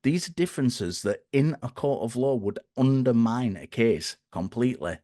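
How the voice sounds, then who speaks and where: Hoarse man, reasoning aloud, mid-range voice, no room sound. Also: mid-range voice, expressive, normal speed, warm tone, no echo, studio quality. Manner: thoughtful, restarts phrases. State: hoarse, croaky. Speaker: guest speaker. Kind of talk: conversational explanation.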